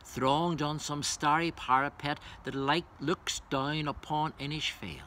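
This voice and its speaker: A man speaking as a poetry reader, introducing and reciting verse in a measured way. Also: a little high, fairly even intonation, bright, forceful tone, slight background noise.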